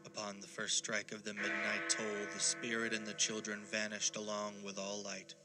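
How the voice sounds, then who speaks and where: An actor as the solemn narrator of a ghost story in an audio drama, reading scripted narration. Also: male voice, on the low side, monotone, moderate pace, loud music behind the voice, close to an everyday voice.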